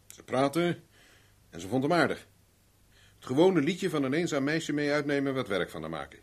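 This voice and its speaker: A self-assured private investigator in a seventies radio play. His pitch swings widely as he probes with dry irony, and the voice has a slightly husky, rough edge. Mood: probing, reasoning. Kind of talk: 1970s Dutch radio drama acting.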